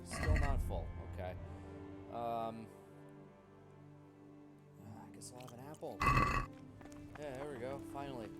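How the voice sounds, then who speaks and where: A man doing casual, sarcastic let's-play commentary over a video game. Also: medium pitch. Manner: joking, sarcastic, irreverent. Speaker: gamer doing a video playthrough. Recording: loud game music behind. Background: game music and audio.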